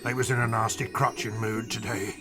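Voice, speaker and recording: An actor playing a kindly, bumbling old giant in a children's audio drama, talking in quaint, muddled grammar. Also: male voice, on the low side, level tone, a little fast, music in the background, lightly acted. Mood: gentle, relieved.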